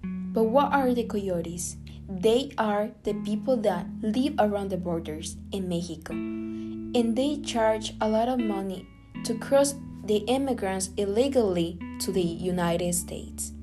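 A woman's voice: mid-range voice, a little slow. A woman from Guatemala, earnestly reading a scripted spoken piece. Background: guitar music.

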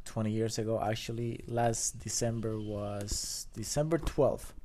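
A man's voice: on the low side, a little slow, slight background noise. Chatty Colombian man in his thirties. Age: 30s. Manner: animated, rambling, many fillers. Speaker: podcast guest. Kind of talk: casual storytelling chat.

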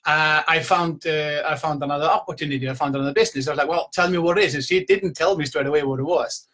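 A middle-aged man with an Icelandic accent talks informally to an online audience as a business coach. His voice is bright and forceful, pitched slightly higher than most men's. He tells stories in a loose, conversational way. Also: quick pace. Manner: conversational, rambling storytelling with repeated "you know". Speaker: business coach giving a motivational talk. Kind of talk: informal motivational talk to a live online audience. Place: at home, indoors.

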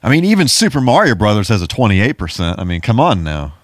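A man's voice: on the low side, sing-song, quick pace, dry recording. A man chatting casually as a podcast host, in an informal, rambling way. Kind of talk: casual podcast chat about movies.

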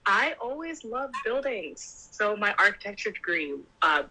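A woman's voice: mid-range voice, sing-song, a little slow, bright, forceful tone, low-quality recording. A young woman in her twenties, an upbeat pageant titleholder chatting and laughing easily as an interview guest. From United States. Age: 20s. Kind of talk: casual interview.

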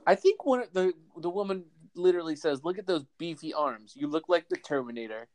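A podcast co-host chatting casually about a movie, incredulous and animated, stammering when excited. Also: mid-range voice, fairly quick, no room sound. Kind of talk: casual movie recap chat.

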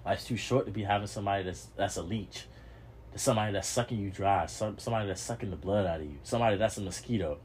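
Preachy young man, low voice. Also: fast talker, a little mellow, a little hiss or hum. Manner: preachy, repetitive, swears casually. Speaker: podcast host. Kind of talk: motivational monologue.

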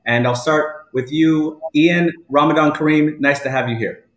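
A man's voice: a little high, fairly even intonation, moderate pace. A man hosting a casual live online talk show from home, chatting with guests. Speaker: live chat show host. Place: indoors at home. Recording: roomy home echo.

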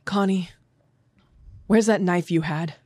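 A man's voice: slow pace, a little mellow, breathy edge, no echo, full character voice. A man voicing a blunt, sardonic young woman soldier in a raised, dry and mocking tone. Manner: low-key, dry and mocking.

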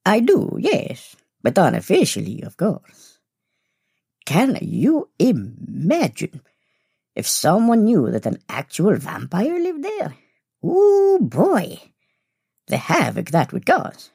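A woman playing an old, chatty, genteel male vampire in an audio story, husky and creaky, with arch, exclamatory, deliberate phrasing. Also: medium pitch, huge pitch swings, dark tone, no room sound, clean studio sound, exaggerated voice. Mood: chatty, wry. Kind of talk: acted audio story dialogue.

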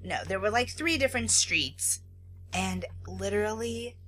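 An American woman co-hosting a podcast in casual chat, chatty and quick to laugh. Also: mid-range voice, slight background noise. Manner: chatty, laughs often, excited retelling. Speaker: podcast co-host.

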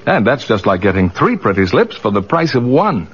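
A man's voice: mid-range voice, very animated, normal speed, slightly rough. A man announcing scripted advertising patter in the style of 1940s radio. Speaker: commercial announcer. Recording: muffled old radio recording.

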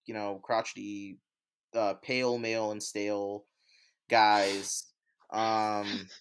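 A man's voice: fairly low pitch, flat delivery, slow, smooth. A man co-hosting a casual podcast discussion, feeling his way through his points with tentative, circling phrasing. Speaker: podcast co-host.

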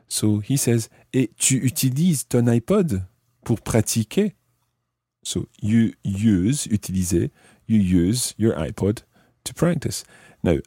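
Man, language podcast host, low pitch, slightly rough voice, deliberate explanations with repeated phrases. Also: mellow, no echo, clean studio sound. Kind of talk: explanatory language lesson narration.

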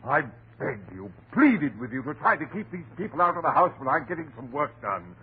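A husband at his wits' end in a 1940s-style radio play. His high voice rises and falls sharply as he scolds and pleads. It comes through a tinny, faintly hissy broadcast. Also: very animated, brisk. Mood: scolding, pleading.

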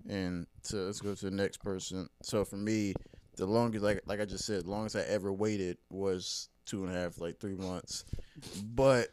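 A young man talking frankly about dating on a casual podcast, relaxed and often trailing off quietly. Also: low voice, normal speed, slight background noise.

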